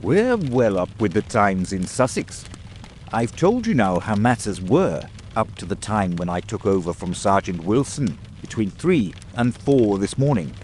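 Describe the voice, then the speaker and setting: Man playing a bustling, low-voiced provincial detective. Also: sing-song, measured pace, darkish tone, slight background noise. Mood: proud. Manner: hearty, chatty, eager. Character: bustling, genial male provincial police detective, eager and proud.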